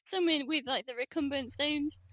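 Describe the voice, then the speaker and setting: A woman's voice: high-pitched, moderate pace, fairly bright tone, no echo. A young woman in her twenties, a student guest on a podcast, talking enthusiastically and casually, full of "um" and "like". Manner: enthusiastic, chatty, frequent "um" and "like". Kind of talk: casual conversational interview.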